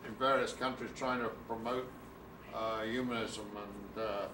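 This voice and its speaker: A man's voice: lowish voice, steady tone, a little slow, reverberant, a crowd in the background. A man in the audience asking a speaker questions hesitantly, with many fillers. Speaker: audience member. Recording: far from mic, faint ambience.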